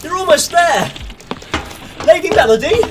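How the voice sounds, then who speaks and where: A man's voice: very high-pitched, expressive, a little slow, noisy background. A man playing an exasperated father urgently giving orders in an audio drama. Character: exasperated, bossy father leading an escape. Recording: distant mic under loud music.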